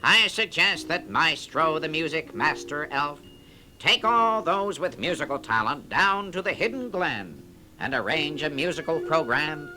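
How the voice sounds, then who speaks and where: A man's voice: a little high, bright, forceful tone, voice pushed up, exaggerated voice, poor audio. A man voicing a self-important duck administrator on a children's storybook record, speaking in a pompous, formal, wordy way. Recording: vintage record with music behind.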